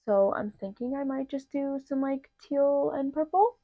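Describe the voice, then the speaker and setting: A woman's voice: high voice, measured pace, dry recording. Young woman doing a makeup tutorial, kinda nasal from allergies, says like a lot, slightly muffled dry audio. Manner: chatty, lots of "like" fillers. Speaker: beauty vlogger. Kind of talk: casual makeup tutorial chat.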